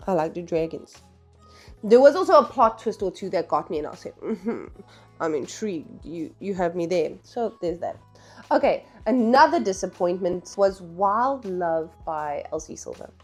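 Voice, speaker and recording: A woman's voice: lowish voice, expressive, normal speed, soft background music. A woman talking casually and candidly in a book review vlog, opinionated, slangy and emphatic.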